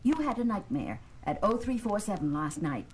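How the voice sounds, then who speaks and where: Actress as concerned space doctor, very expressive. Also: female voice, mid-range voice, normal speed, slight background noise, close to an everyday voice. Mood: measured, serious. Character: cautious, concerned woman doctor on a space base. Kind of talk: audio drama acting for a children's record. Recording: loud dramatic music behind the voice.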